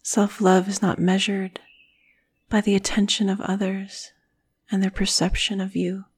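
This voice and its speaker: A woman guiding a meditation in a soothing, breathy manner, with phrases often trailing off into a whisper. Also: medium pitch, little pitch movement, measured pace. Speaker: meditation guide. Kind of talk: guided meditation narration.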